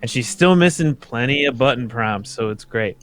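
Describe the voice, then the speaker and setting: A young man talking in a relaxed conversational style, explaining things informally. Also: medium pitch, moderate pace. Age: young adult. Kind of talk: casual chat.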